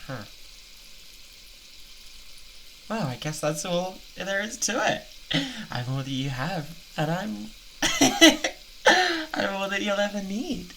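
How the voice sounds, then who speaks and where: A man acts out an unhinged British yandere boyfriend in an improvised ASMR roleplay. His delivery is slow and theatrically coaxing, doting with menace underneath, and it swoops widely in pitch. A noisy sizzling wash sits behind the voice. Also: animated, sharp tone, exaggerated voice. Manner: halting, giggly, theatrically coaxing.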